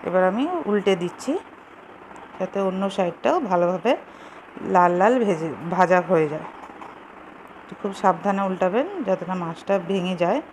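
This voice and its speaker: A woman's voice: lowish voice, normal speed, long pauses, darkish tone. A woman giving casual, step-by-step cooking instructions in simple words, as host of a home recipe video. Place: home kitchen. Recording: clearly noisy home kitchen recording.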